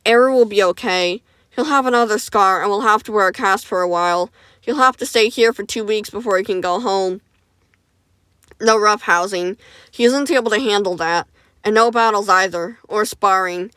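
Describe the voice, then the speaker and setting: A calm, caring male medic talks in a steady, gentle, reassuring way, read by a woman in her own voice. The sound is smooth and clear, recorded close and dry. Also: mid-range voice, normal speed, dry recording, natural voice.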